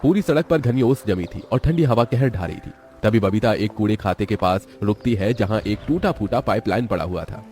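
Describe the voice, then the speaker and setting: A man's voice: on the low side, lively intonation, a little fast, few pauses, darkish tone, soft background music. A man narrating a scripted children's moral story in a dramatic way.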